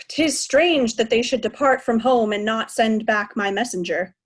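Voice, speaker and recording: A woman playing a proud, imperious old king in a Shakespeare stage read-through, with a husky voice. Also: a little high, unhurried. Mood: puzzled.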